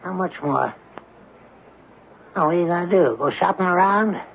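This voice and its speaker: A man's voice: high-pitched, moderate pace, vocal fry. A man acting in a 1970s radio drama as a sly small-time coin dealer, haggling in a wheedling, needling way. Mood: wheedling, shrewd. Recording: noisy, phone-like old radio recording.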